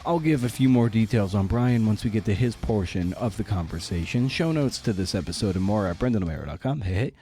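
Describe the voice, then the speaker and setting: A man's voice: low voice, dark tone, no room sound, clean studio sound. A man hosting a podcast, talking casually in short, informal, offbeat phrases. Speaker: podcast host. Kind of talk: casual podcast intro and interview.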